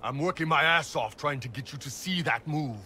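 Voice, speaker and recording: An actor playing a gruff, easygoing mercenary captain of a hulking horned race in a video game, talking bluntly and casually. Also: male voice, a little high, fairly quick, sharp tone, full character voice. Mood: boisterous, fond. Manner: blunt, casual, rough-edged. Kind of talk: video game cutscene acting.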